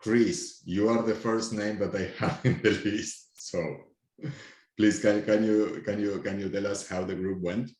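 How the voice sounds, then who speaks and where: A man from Argentina with an Argentinian accent, leading a group discussion on a video call in a halting, friendly way. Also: lowish voice, fairly even intonation, darkish tone, reverberant, rough recording. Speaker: workshop facilitator. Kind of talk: online workshop discussion.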